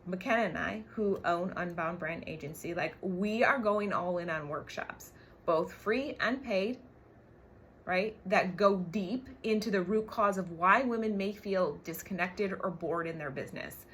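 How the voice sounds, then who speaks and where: A woman's voice: on the low side, measured pace, a bit bright, a little hiss or hum. A woman coach giving an emphatic motivational livestream talk, swearing casually and often checking in with short tag questions. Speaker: brand coach and business co-owner.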